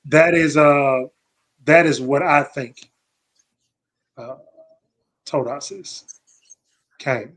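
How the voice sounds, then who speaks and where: A man's voice: on the high side, takes it slowly, long pauses, a bit bright, poor audio. An American man hosting a scripture study, talking reflectively and often searching for his words. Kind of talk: reflective Bible discussion.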